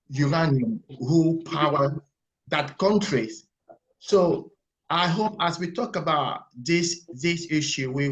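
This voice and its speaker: This is a man from Cameroon joining a webinar over a video call. His voice sits slightly high, and he talks in a careful, hesitant way, breaking off and restarting words as he builds his point. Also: low-quality recording. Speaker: webinar participant. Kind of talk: online discussion contribution.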